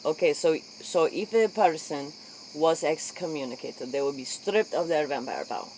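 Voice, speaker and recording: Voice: medium pitch, moderate pace, darkish tone, rough recording. An inquisitive interviewer plays out a spoof late-night chat. The voice sits mid-range and speaks a put-on, foreign-sounding broken English. Faint noise with crickets and insects runs behind it.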